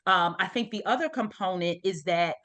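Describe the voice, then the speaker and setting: A woman's voice: medium pitch, normal speed, fairly bright tone. A middle-aged woman from the United States hosting an online support group, explaining informally and often checking in with her listeners. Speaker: parent support group host. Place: online video meeting. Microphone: video call.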